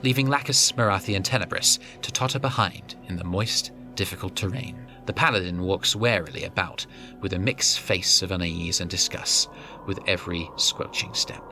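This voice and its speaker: Male narrator, lowish voice, steady scripted fantasy storytelling over music. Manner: measured, descriptive storytelling. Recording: background music.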